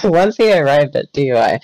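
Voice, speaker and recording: Guy reading a wiki page out loud on a voice call, lively pitch, trips over words, dry close mic. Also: normal speed, no room sound, low-quality recording. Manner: reads aloud with stumbles, self-corrections and laughs. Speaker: reader in a group voice chat.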